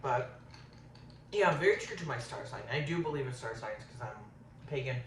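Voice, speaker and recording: A man in his thirties talks casually while eating on camera. He rambles from topic to topic, fills gaps with um, and keeps an everyday middle pitch. He sits back from the mic in an echoey room with a faint hiss. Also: animated, brisk, a bit bright. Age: 30s. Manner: rambling, says um a lot. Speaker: vlogger. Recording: roomy, distant, faint hiss.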